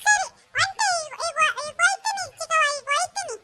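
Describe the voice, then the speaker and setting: A woman's voice: very high-pitched, brisk, pushed and bright, faint music behind, exaggerated voice. A woman voicing an eager, obedient little village boy in a rural melodrama.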